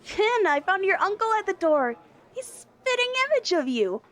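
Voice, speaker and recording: An actress playing a friendly, eager young art student in an audio drama, chatty and welcoming. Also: female voice, very high-pitched, sing-song, moderate pace, a bit bright, heavily acted. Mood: friendly, curious. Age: young adult.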